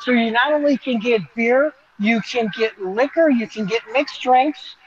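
A man's voice: extremely high pitch, a little slow, lo-fi sound, a little hiss or hum. A man giving an enthusiastic, conversational live walk-through as a restaurant reporter, explaining as he goes.

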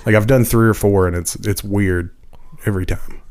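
A man's voice: on the low side, fairly even intonation, moderate pace, a little mellow. A man chatting casually as a podcast guest, easygoing and self-deprecating. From Nashville, Tennessee. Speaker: guest musician.

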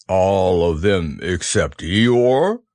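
A man reading a storybook aloud, voicing a gloomy, self-pitying old male donkey in a slow, mournful drawl. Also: low-pitched, lively intonation, darkish tone, no room sound, clean studio sound, heavily acted.

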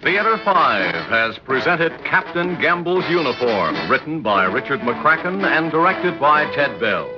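A male announcer reads formally in vintage radio style. His slightly high voice has lively, rolling intonation. Music plays behind him on a muffled recording. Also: unhurried, few pauses. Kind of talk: formal scripted credits announcement, 1960s radio.

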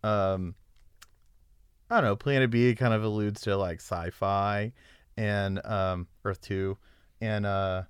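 A man's voice: lowish voice, fairly even intonation, takes it slowly, pauses often, clean tone, dry recording, studio quality. A man in his thirties chatting casually on a podcast, laid-back and a bit hesitant, laughing easily. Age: 30s. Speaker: band member, podcast guest. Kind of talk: casual chat.

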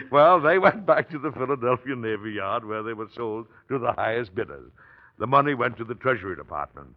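An actor playing a dignified, genial middle-aged American president in an old-time radio drama, speaking in a measured, formal way. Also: male voice, mid-range voice, expressive, lightly acted. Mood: genial, amused. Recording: dull vintage radio recording.

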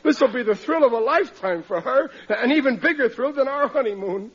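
A muffled vintage radio sitcom recording of a blustering American riveter in middle age. His voice is husky and high for a man, and he exclaims in ungrammatical, working-class speech with gullible pride. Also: lively intonation, brisk, a bit bright, full character voice. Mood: gushing. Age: middle-aged. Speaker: comic lead actor.

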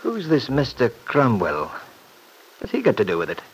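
An actor playing a shrewd, dryly sardonic attorney in an old radio serial, probing and deliberate. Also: male voice, medium pitch, wildly swooping pitch. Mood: brisk, questioning. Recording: noisy old radio broadcast recording.

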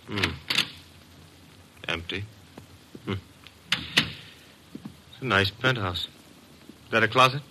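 An actor playing a wisecracking, streetwise private detective's assistant with a breezy, slangy, sardonic manner in an old-time radio drama. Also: male voice, lowish voice, very slow, long pauses, noisy background. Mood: breezy, curious.

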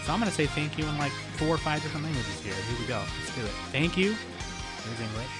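A man's voice: fairly high pitch, quick pace, over loud music. A young man hosting a podcast in a laid-back way, swearing casually and laughing often. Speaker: podcast host.

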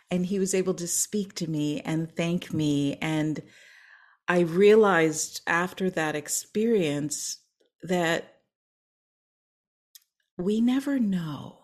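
A woman opera singer and voice coach telling a story in an interview, earnest and emphatic, sometimes dropping to a whisper. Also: on the low side. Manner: earnest, slow and emphatic, drops to a whisper on key lines.

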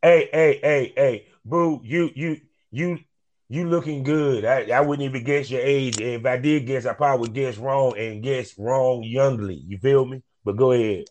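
A man's voice: medium pitch, normal speed, darkish tone. A man hosting a casual podcast interview, talking in a laid-back, slangy drawl. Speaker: podcast host.

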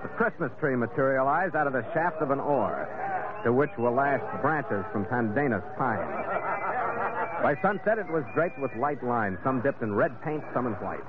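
An actor playing a steady, wry seafaring captain, narrating in a dry, clipped 1940s radio style. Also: male voice, mid-range voice, normal speed, very noisy, natural voice. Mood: measured, wry. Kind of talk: 1940s radio acting, scripted first-person narration. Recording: narrow-band old radio recording. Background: dramatic music.

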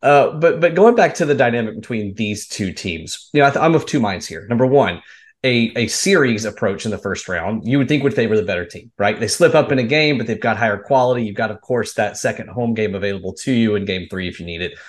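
A man's voice: on the low side, lively intonation, fast talker. A man hosting a conversational sports interview, laying out long analytical questions. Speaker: host.

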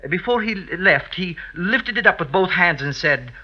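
A man's voice: sits high, expressive, normal speed. An actor playing a fawning, scheming male servant in a 1950s radio drama, obsequious, hesitant and theatrical. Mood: sly, conspiratorial. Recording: dull vintage radio recording.

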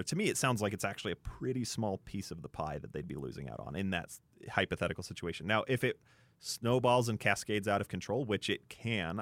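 A man's voice: on the low side, dry recording. A man on a sports talk panel, speaking off the cuff and reasoning aloud in a conversational way. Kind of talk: unscripted sports discussion.

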